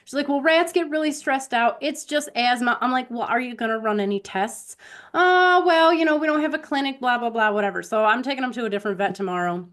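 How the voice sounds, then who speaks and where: A woman's voice: fairly high pitch, a little fast, fairly bright tone. Chatty woman telling casual stories. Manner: rambling storyteller who acts out quoted conversations. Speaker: podcast co-host. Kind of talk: casual anecdotal chat.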